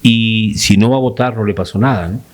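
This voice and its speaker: A man's voice: on the low side, brisk. A man from Peru debating on a talk panel, pressing his point with rhetorical questions.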